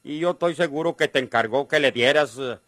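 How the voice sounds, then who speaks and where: A man's voice: mid-range voice, brisk, fairly bright tone, no room sound, close to an everyday voice. Dry-sounding wheedling Mexican country schemer, acted by a man. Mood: coaxing. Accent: northern Mexican. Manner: coaxing, folksy. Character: wheedling, insistent rustic schemer after treasure. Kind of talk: vintage Mexican radio drama acting.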